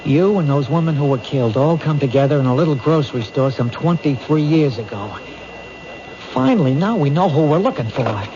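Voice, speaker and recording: An actor playing a determined, protective police detective in a 1970s radio drama, reasoning things out insistently. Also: male voice, fairly high pitch, animated, a little fast, a crowd in the background, natural voice. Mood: triumphant. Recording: dull, noisy 1970s radio recording.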